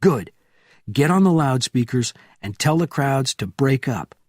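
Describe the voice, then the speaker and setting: A man reading as a calm, hardened agent. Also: medium pitch, a little slow, no room sound, studio quality, voice pushed up. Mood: calm, urgent.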